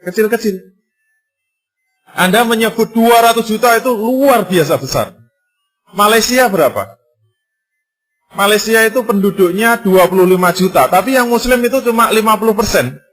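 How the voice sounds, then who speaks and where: A male Islamic preacher giving a sermon, full of rhetorical questions and emphatic repetition, lively and sometimes joking. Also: sits high, moderate pace, long pauses, soft background music. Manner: lively, conversational and jokey in places.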